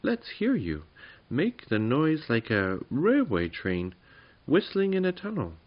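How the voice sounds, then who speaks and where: A man's voice: mid-range voice, wildly swooping pitch, takes it slowly, highs cut off, pitched above the performer's own voice, full character voice. A man voicing a timid, childlike little fat male goblin in a whining, plaintive way for a storybook. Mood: amazed, excited.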